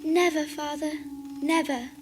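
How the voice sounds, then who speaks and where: Worried young daughter in a radio play, high soft pleading voice, music behind. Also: female voice, fairly even intonation, unhurried. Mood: tearful pleading. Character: young daughter, tender and anxious. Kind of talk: theatrical radio-play acting.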